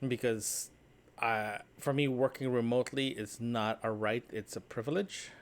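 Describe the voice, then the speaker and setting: A man's voice: medium pitch, fairly even intonation, measured pace. Casual man rambling through an audio diary. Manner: conversational, meandering, often checking with "right?". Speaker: solo podcast host and vlogger.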